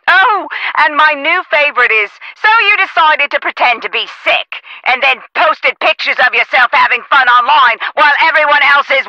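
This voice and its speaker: A woman's voice: on the high side, pushed and bright, dry recording, lo-fi sound, exaggerated voice. An actress playing a chatty, officious female office manager in a video game, heard over a telephone. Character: chatty, prim female office manager on the phone, policy-proud.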